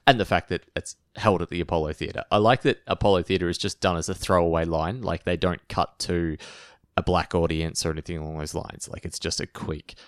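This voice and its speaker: A man co-hosting a podcast in relaxed, rambling casual chat. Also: sits low, fairly quick, hardly any pauses, clean tone, dry recording, studio quality. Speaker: podcast co-host.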